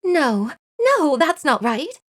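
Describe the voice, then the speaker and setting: A woman's voice: sits high, very animated, takes it slowly, exaggerated voice. A woman voicing an evasive, sulky young secretary in a theatrical video game fan dub, with a dramatic, defensive, stammering delivery. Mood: defensive, rattled. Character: evasive, flustered, sulky young female secretary under questioning.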